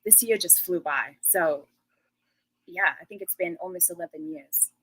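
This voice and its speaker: A woman's voice: fairly low pitch, moderate pace, a bit bright, husky, rough recording. An Australian woman in her thirties, a guest chatting casually and laughing often. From Western Australia. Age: 30s. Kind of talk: casual interview chat.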